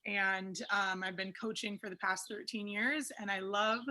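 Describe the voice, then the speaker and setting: A woman's voice: mid-range voice, level tone, moderate pace, pushed and bright. A woman in her thirties, a coach, introducing herself on a panel call in a lighthearted, chatty way. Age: 30s. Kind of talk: casual self-introduction.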